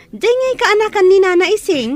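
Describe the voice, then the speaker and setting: Babaeng gumaganap na dalagang taga-baryo, mataas ang boses. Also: female voice, very high-pitched, very animated, moderate pace. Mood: chatty. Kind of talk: Philippine radio-style story drama.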